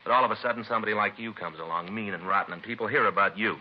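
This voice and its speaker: An actor playing a stern, indignant, world-weary police detective in a clipped hard-boiled style of 1950s radio drama. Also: male voice, fairly low pitch, very fast, natural voice. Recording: dull, noisy vintage radio recording.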